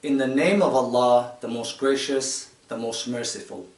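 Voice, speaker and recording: A man in his fifties from Tanzania, speaking to a group of children in a measured, explanatory way with pauses and fillers. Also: medium pitch, fairly even intonation, darkish tone. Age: 50s. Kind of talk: informal talk to children. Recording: echoey room, distant mic.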